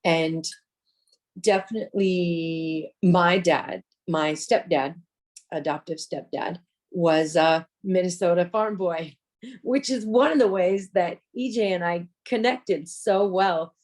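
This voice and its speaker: Woman podcast co-host, fairly low voice, casual and personal, quick to laugh. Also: a little mellow. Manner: chatty and personal, laughs easily, many fillers.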